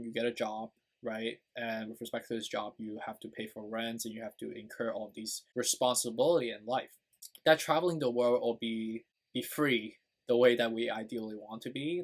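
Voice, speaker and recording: Earnest man with a Chinese accent. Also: medium pitch. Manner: rambling, earnest, many fillers and tag questions. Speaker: YouTube channel host. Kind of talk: casual personal talk to camera.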